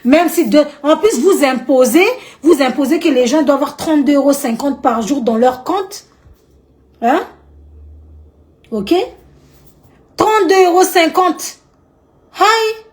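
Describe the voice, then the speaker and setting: A woman's voice: high voice, expressive, a little slow, pauses often. An outspoken woman from Madagascar with a Malagasy accent, talking indignantly to camera in her vlog and repeating points for emphasis. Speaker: vlogger.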